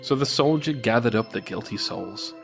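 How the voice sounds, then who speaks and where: A man's voice: on the low side, expressive, normal speed, creaky. Man narrating a folk tale over music. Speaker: storyteller, podcast host.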